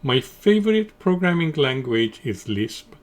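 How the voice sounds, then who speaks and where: A middle-aged man, a Farsi speaker, giving a formal, measured scripted technical conference presentation. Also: fairly high pitch, very animated. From Iran. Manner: measured, deliberate, formal delivery.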